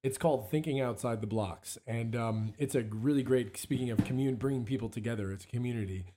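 A hoarse man, a musician guest, chatting casually on a local radio show with lots of ums and restarts. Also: mid-range voice, fairly quick, noisy background. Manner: rambling, many ums and restarts.

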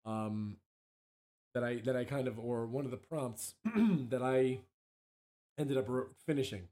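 A hoarse man, a musician and songwriter, chatting casually as an interview guest in a rambling, self-interrupting way. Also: medium pitch, fairly even intonation, measured pace, dark tone. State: hoarse from allergies, clearing throat.

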